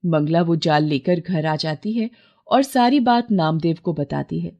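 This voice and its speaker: A woman's voice: fairly low pitch, measured pace, mellow, muffled recording. A woman storyteller reading scripted narration for a moral tale.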